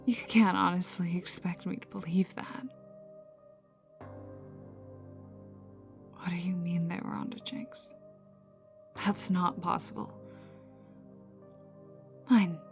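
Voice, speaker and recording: A woman voicing a tough, bitter, sardonic young female villain in an intimate ASMR audio roleplay, often dropping into breathy half-whispers and swearing. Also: lowish voice, fairly even intonation, measured pace, pauses often. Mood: suspicious, incredulous. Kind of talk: intimate ASMR roleplay, one side of dialogue. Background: ambient music.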